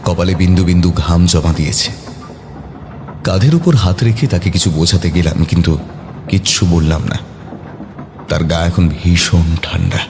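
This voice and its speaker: A man narrating a scripted horror story in a suspenseful, dramatic manner. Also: sits low.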